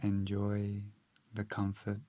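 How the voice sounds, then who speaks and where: Slow, low-pitched man guiding meditation. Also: no room sound. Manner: calm, gentle, measured delivery with long pauses. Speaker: meditation guide. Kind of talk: guided meditation narration.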